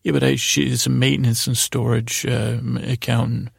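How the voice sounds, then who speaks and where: Male podcast host, heavy vocal fry, raspy, hesitant, meandering, lulling bedtime monologue. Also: medium pitch, flat delivery, normal speed, dry recording, studio quality. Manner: meandering, hesitant, self-interrupting.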